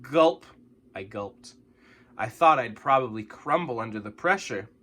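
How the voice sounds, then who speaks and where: A man reading a children's story aloud in an expressive, dramatic way with exaggerated emphasis. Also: mid-range voice, moderate pace.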